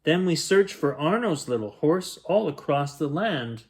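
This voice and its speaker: A man reading a rhyming picture book aloud as a bedtime story, in a gentle, expressive storytelling manner. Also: on the high side, unhurried. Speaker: children's bedtime story reader.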